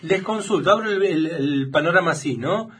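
A man's voice: a little high, expressive, moderate pace, heavy background noise. A man with an Argentine accent hosting a casual radio interview, asking long rambling questions with restarts. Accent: Argentine Spanish. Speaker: radio host.

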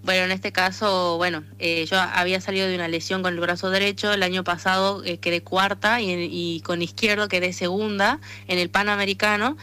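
A woman's voice: medium pitch, flat delivery, fairly quick, hardly any pauses, sharp tone, clear, resonant tone. A woman with a Tucumán accent from northern Argentina, answering as an athlete in a conversational radio interview. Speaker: interviewed athlete, arm wrestler and association president.

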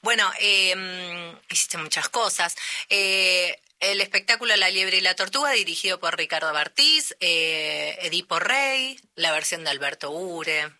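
A woman's voice: fairly low pitch, expressive, a little slow, bright, forceful tone. A woman in her forties with a Rioplatense accent, hosting a radio show in a chatty, exclamatory way. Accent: Rioplatense Spanish. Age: 40s. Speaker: radio host. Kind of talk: casual radio chat.

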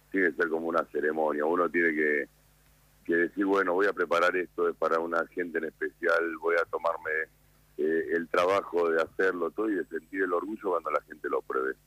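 Argentine male chef, 50s, low voice, relaxed explainer on a radio call. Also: no echo, poor audio. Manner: calm, unhurried, explanatory. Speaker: chef guest. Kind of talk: radio interview by phone.

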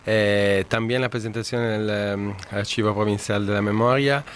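A man from Italy speaking Spanish with an Italian accent as a guest historian on a radio interview, halting with many pauses and fillers. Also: on the low side, steady tone, a little hiss or hum.

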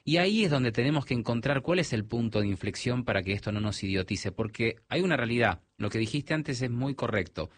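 A man's voice: mid-range voice, animated, fairly quick, few pauses, no echo. Argentine man explaining, dry sound. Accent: mild Argentine. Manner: explanatory, with frequent restarts. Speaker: technology guest. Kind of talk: radio interview.

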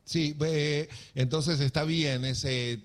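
A man with an Argentine accent hosting a radio interview, speaking haltingly with many pauses and fillers. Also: a little high, fairly even intonation. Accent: Argentine Spanish. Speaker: radio host.

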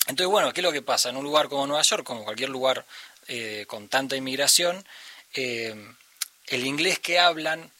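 A man with an Argentine accent, a musician talking thoughtfully in an interview and often pausing to search for the right word. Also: mid-range voice, animated, pushed and bright, no echo. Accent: Argentine Spanish. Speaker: musician guest. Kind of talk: reflective conversational interview.

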